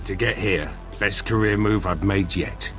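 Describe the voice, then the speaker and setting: A wry, opportunistic military officer from a video game. His very deep voice is clipped and matter-of-fact. Music sits behind it. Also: measured pace, fairly bright tone. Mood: wry, cocky. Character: cynical, opportunistic male Imperial military officer.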